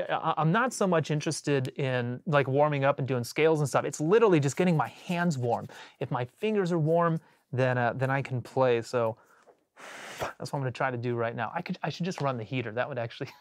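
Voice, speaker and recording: A man hosting a casual livestream as a bassist, rambling with lots of fillers and restarts, his voice a little off after a cold. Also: dry recording, clean studio sound. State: recovering from a cold.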